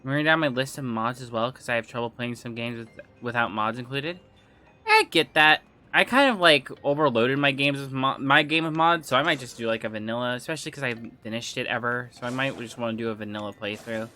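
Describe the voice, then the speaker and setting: A man's voice: medium pitch, fast, sharp tone. A man chatting casually with viewers on a gaming livestream, rambling, trailing off and restarting, his throat a little phlegmy. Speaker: game streamer.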